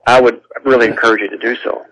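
A man with a bright, forceful voice explains scripture on a radio talk show. He talks earnestly, often restarts his sentences and repeats his words as he goes. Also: mid-range voice, little pitch movement, very fast, no room sound, poor audio. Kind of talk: conversational teaching on a call-in show.

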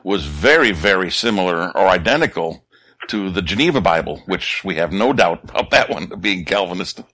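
A man co-hosting a radio talk show, agreeable and quick to add short affirmations. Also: low voice, animated, pushed and bright.

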